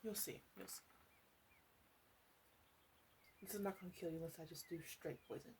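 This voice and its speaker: Woman playing a mellow, teasing ASMR witch. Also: fairly low pitch, fairly even intonation, rapid-fire, slight background noise, lightly acted. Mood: reassuring, playful. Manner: soft, coaxing, playful teasing with murmured asides. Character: teasing, flirty witch in her three hundreds. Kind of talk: ASMR roleplay, speaking to the listener.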